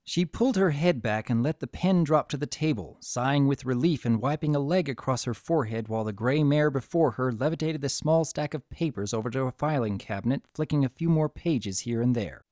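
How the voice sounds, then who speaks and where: Man narrating a story, highly animated delivery. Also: a little fast, few pauses, dry recording, studio quality. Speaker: narrator of a fan fiction story. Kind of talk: dramatic scripted storytelling.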